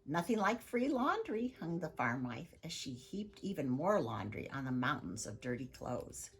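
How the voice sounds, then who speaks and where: A woman reading a children's story aloud in an expressive narrating style. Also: extremely low pitch, normal speed, creaky. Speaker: storybook reader.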